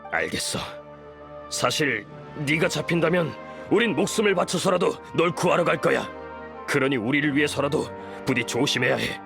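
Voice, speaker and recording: An actor playing an earnest, protective man in a video game, with a slightly creaky voice. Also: fairly high pitch, animated, normal speed, over music. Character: earnest, protective man, sighing but caring ally. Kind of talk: video game dialogue acting.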